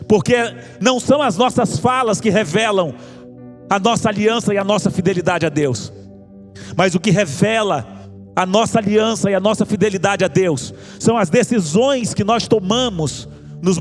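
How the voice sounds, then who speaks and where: A man's voice: high voice, normal speed. A man with a Brazilian accent preaching an evangelical sermon, exhorting listeners with impassioned, repeated appeals. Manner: impassioned, exhorting, rhetorical repetition. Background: music under the preaching.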